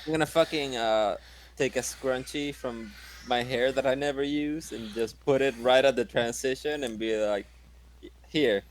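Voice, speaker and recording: A man's voice: mid-range voice, moderate pace. A man chatting and joking casually as a player in a tabletop role-playing game.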